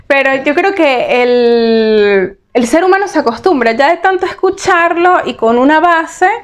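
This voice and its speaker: A woman from Venezuela answers interview questions in a fairly high voice. She talks earnestly and practically, with frequent hesitations on "eh". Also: animated, a little slow. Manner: earnest, advice-giving, frequent "eh" fillers. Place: Portugal.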